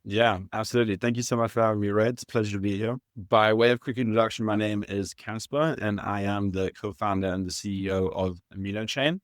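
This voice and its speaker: A man in his thirties from South Africa with a South African accent, explaining his work at a measured pace as an interview guest, with some fillers and restarts. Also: lowish voice, fairly even intonation, no room sound, clean studio sound. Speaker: guest, startup founder. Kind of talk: conversational interview answers.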